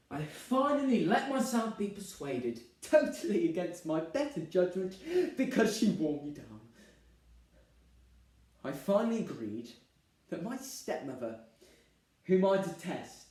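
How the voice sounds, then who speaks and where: A man's voice: sits high, a little mellow. A young man playing a harried, put-upon groom in a dramatic stage monologue, theatrical, emphatic and exasperated. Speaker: drama student performer. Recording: very echoey room, distant microphone.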